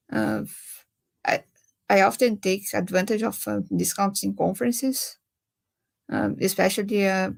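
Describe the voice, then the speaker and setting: A young woman from Brazil with a Brazilian Portuguese accent, speaking on a webinar panel and pausing often with filler sounds. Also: mid-range voice, gravelly, a little mellow. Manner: hesitant, many filler sounds. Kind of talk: webinar talk.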